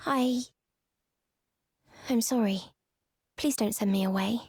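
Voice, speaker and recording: An actress playing a timid, gentle young girl in a game, meek and hesitant. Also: a little high, slow pace, breathy edge, dry recording, clean studio sound, heavily acted. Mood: fearful. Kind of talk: dramatic video game voice acting.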